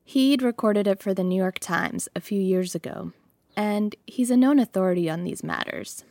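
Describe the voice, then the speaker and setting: A woman's voice: mid-range voice, expressive, normal speed, warm tone, no room sound. A woman raised in the United States, whose first language was Russian, hosting and narrating a podcast in a conversational, reflective way. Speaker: podcast host.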